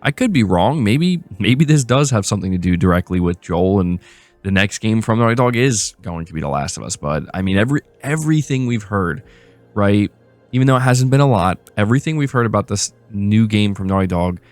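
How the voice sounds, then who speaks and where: A man's voice: lowish voice, animated, a little fast, a little mellow, no echo, faint music behind. A man hosting a gaming news show, moving between read-out reports and casual commentary. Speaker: host of a gaming news channel.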